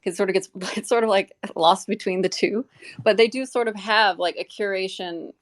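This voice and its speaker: A woman's voice: medium pitch, moderate pace. A woman talking in a casual, earnest and rambling way in a live audio discussion.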